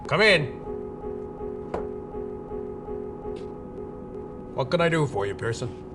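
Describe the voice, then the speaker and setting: A sardonic American city detective in a game cutscene. He talks informally and gruffly, with lively intonation at a medium pitch. Music is heard behind him. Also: fast. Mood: wry, gruff. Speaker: police detective. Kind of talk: video game cutscene acting. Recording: game dialogue over background music.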